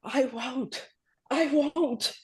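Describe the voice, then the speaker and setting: Woman acting desperate mother, high voice, pleading, hesitant repeated phrases, fearful, film drama table read. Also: a little mellow, slightly rough, full character voice. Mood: frightened, pleading. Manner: halting, pleading, repeats herself. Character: desperate, frightened mother obeying her child's kidnapper.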